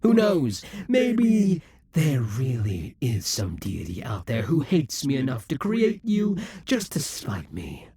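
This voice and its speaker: A man playing a vengeful, defeated demon king in an audio roleplay, taunting and grandiose, with a husky, breathy voice that often drops to whispers. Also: medium pitch, wildly swooping pitch, warm tone, no echo, heavily acted. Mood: bitter, brooding. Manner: grandiose, menacing, deliberate dramatic delivery. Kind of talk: scripted audio roleplay monologue.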